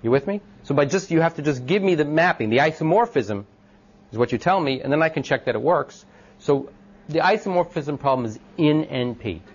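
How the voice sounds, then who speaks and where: A man with a loud voice giving an informal classroom lecture, thinking aloud with many ums and restarts and asking the class questions. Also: fairly high pitch, animated, a little fast, breathy edge. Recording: dull lo-fi lecture recording.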